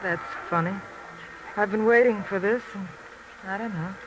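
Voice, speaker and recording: An actress playing a wartime factory coworker in casual 1940s radio drama dialogue. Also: female voice, mid-range voice, normal speed, close to an everyday voice. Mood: puzzled, subdued. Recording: noisy old radio, buzzing background.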